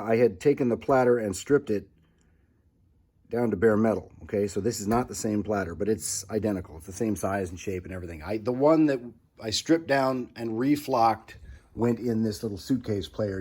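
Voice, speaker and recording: Low-voiced man, casual and folksy. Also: normal speed, a little mellow, breathy edge. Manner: informal, folksy, thinking aloud. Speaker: hobbyist restorer presenting own project. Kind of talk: casual hands-on restoration walkthrough.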